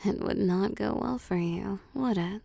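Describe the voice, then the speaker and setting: A woman voicing a seductive, possessive vampire boss in an intimate audio roleplay, cooing and teasing softly and often slipping into whispers. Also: mid-range voice, a little slow, creaky, no echo. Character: seductive, possessive female vampire CEO pampering her prey. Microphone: close, dry microphone.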